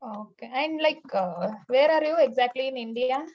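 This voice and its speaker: A woman instructor leading class introductions over a video call, in a casual manner, often answering with a quick "okay". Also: high-pitched, animated, highs cut off. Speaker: course instructor.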